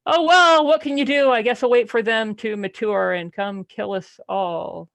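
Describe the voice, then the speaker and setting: A woman's voice: on the high side, moderate pace, gravelly, a bit bright, dry recording, low-quality recording. A woman on a book club podcast, joking casually with a sarcastic, exasperated edge as she discusses a story.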